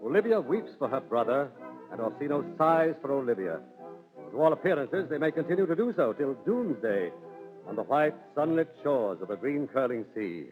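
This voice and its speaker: A man's voice: medium pitch, sing-song, normal speed. Theatrical male radio narrator, tinny old recording. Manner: declamatory, storytelling. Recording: tinny 1930s radio, music behind.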